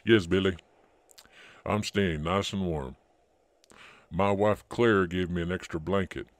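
A man's voice: low-pitched, fairly even intonation, measured pace, dry recording. A man playing a friendly, earnest blind street informant in an old-time radio drama, talking in a folksy way.

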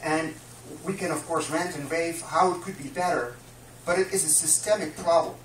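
A man's voice: a little high, moderate pace, a bit bright. A man in his fifties with a Dutch accent gives an earnest talk to a small audience, explaining things carefully with many fillers. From Netherlands. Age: 50s. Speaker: guest speaker. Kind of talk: public lecture. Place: small dim room with a projection screen, live audience. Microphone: distant camera mic. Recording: roomy, distant camera mic, faint room noise.

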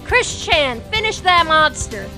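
A woman plays a righteous cartoon magical girl. The voice is extremely high and theatrical, declaiming slowly over clear background music. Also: big pitch swings, sharp tone, exaggerated voice, low-quality recording. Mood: commanding. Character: righteous magical-girl sailor soldier heroine, dramatic. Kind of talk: amateur comic dub, anime parody. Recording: music behind the voice.

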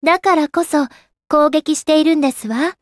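A woman's voice: high-pitched, normal speed, no echo, studio quality, natural voice. An actress playing a proud, composed young goddess warrior in a game, with refined, ladylike and formal speech. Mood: fierce, haughty. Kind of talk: Japanese anime game character acting. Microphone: close dry studio microphone.

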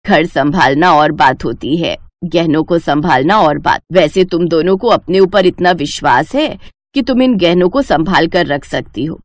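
A woman acts out an elderly mother-in-law from an animated moral tale. She is shrewd and stern, with a lowered voice and a dry, close sound. Every phrase is measured and carries authority. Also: dry recording, natural voice. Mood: stern, challenging. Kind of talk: animated moral story dialogue.

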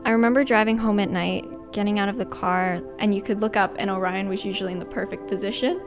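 Young american woman, kinda fry-heavy voice, reflective interview style, loud music under it. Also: mid-range voice, steady tone, fast talker. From New Jersey, United States. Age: around 20. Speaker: college student interviewee. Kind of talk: reflective personal interview narration. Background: prominent music.

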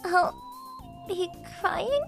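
A woman's voice: very high-pitched, animated, takes it slowly, creaky, fairly bright tone, soft background music, full character voice. A woman voicing a clingy, jealous, lovestruck teenage girl in an anime-style romantic comedy dub, with emotional, dramatic delivery. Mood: shy, sweet.

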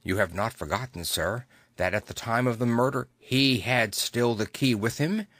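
A man's voice: mid-range voice, moderate pace, a bit bright, no echo, lightly acted. A man voicing an anxious, superstitious Victorian butler in an audiobook, hesitant and respectful. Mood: uneasy, hesitant. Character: anxious, deferential Victorian male butler, plain-spoken and superstitious.

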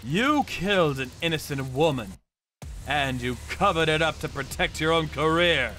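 A man's voice: a little high, animated, normal speed, sharp tone, faint music behind. A man acting a cold, vengeful torturer who tells his story in a theatrical, menacing manga dub style. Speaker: narrator and lead voice actor. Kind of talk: dramatic manga dub narration.

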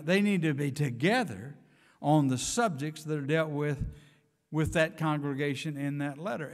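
Older male preacher, higher voice, at a pulpit mic, church reverb. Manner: earnest, emphatic preaching with scripture readings. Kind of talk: sermon. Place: church sanctuary, speaking from a pulpit. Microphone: pulpit microphone.

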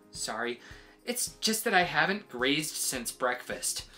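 A woman's voice: low voice, big pitch swings, takes it slowly, bright, forceful tone, faint music behind, voice pushed down, exaggerated voice. A woman voicing a chatty, meddling sheep with a hesitant, wheedling manner in a children's read-aloud. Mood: sheepish. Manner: hesitant, wheedling, full of suggestions. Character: chatty, meddling, nervously cheerful storybook sheep. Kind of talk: animated children's picture-book read-aloud.

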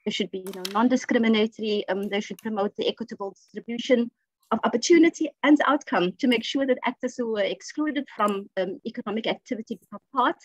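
A woman speaking as a panelist in an online discussion, measured and advocating. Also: on the high side, dry recording, rough recording.

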